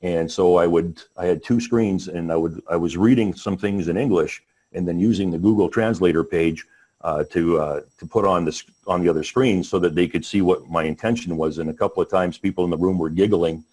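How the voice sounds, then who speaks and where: A man with a very low voice gives a business webinar presentation. He speaks haltingly, stopping and restarting his sentences and filling the gaps with "uh." He sounds like a panelist on a call. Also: darkish tone. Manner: halting, many restarts and fillers.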